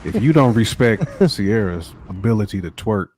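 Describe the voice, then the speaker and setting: A man's voice: fairly low pitch, animated, moderate pace, darkish tone, a little hiss or hum. A man on a podcast panel giving casual opinions in slangy, rambling speech, repeating himself for emphasis.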